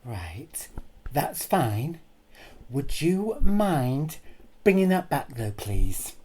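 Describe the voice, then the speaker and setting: A man plays a curt, falsely polite choir woman in a comic monologue. The voice is lifted well above his usual talk, with pointed, passive-aggressive requests and a soft, mellow tone. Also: mid-range voice, very animated, very slow, voice pushed up, full character voice. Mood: passive-aggressive politeness.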